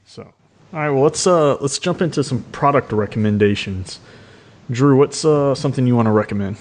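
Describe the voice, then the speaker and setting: A man's voice: mid-range voice, moderate pace, a little mellow. A man hosting a podcast in a casual, conversational style. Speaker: podcast host. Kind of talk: casual chat.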